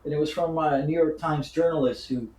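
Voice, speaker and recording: A man in his fifties with an American accent, telling stories casually as an interview guest in a halting, digressive way. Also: a little high, steady tone, a little mellow, a little hiss or hum, low-quality recording. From born in Cuba, grew up in the United States. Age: 50s. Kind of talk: casual conversational storytelling.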